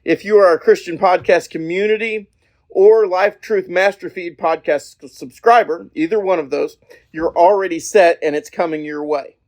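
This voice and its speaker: Guy with a kinda higher voice doing a folksy preacher podcast, earnest, stop and start, talking outside. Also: moderate pace, a little mellow. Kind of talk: informal evangelistic talk. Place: outdoors.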